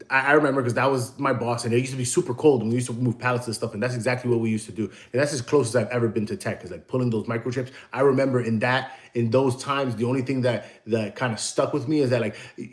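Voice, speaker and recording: An American man hosting a casual livestream, telling long, digressive stories and answering the chat. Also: medium pitch. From United States. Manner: rambling, digressive storyteller. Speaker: livestream host; tattoo artist.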